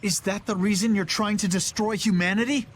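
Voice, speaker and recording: An actor playing a noble, earnest young knight in a fantasy video game. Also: male voice, high voice, fairly even intonation, fairly quick, soft background music, lightly acted. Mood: earnest resolve. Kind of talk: dramatic video game voice acting.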